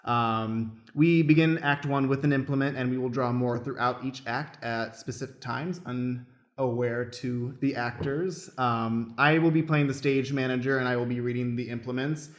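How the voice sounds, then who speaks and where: A man's voice: medium pitch, steady tone, normal speed. A man casually hosting and explaining the rules as stage manager of an improvised radio play. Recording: roomy, echoing room sound.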